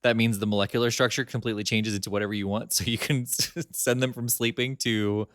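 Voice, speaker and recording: A man's voice: fairly low pitch, quick pace, clean tone, no room sound, studio quality. A man co-hosting a podcast in casual chat, laughing often as he talks. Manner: laughs often, chatty and animated. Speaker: podcast co-host.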